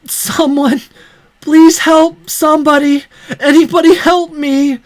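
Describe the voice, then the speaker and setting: Man putting on a high, pleading young captive woman. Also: level tone, takes it slowly. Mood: desperate.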